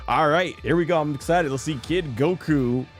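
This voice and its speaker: A man's voice: a little high, normal speed. A man giving casual live commentary as a gaming streamer. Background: game music.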